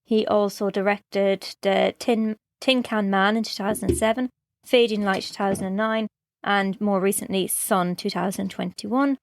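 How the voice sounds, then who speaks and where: A woman's voice: mid-range voice, fairly even intonation, moderate pace, gravelly. An Irish woman co-hosting a podcast in casual film chat, teasing and matter-of-fact. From Ireland. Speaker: podcast co-host.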